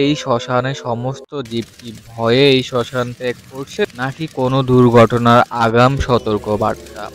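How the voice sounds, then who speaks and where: Male narrator, medium pitch, scripted horror story, suspenseful, deliberate, faint music and crickets behind. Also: clear, resonant tone. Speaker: first-person story narrator.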